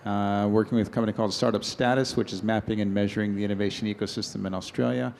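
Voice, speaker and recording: Relaxed, joking American-born male participant with a low-ish voice and faint ambience. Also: fast, vocal fry, a little hiss or hum. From United States. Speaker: workshop participant. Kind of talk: self-introduction. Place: conference room.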